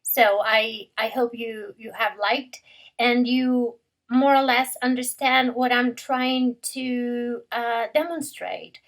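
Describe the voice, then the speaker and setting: Slow, hesitant woman lecturer. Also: a little high, steady tone, a bit bright, low-quality recording. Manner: hesitant, frequent fillers and self-corrections. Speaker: lecturer presenting research. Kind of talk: academic presentation.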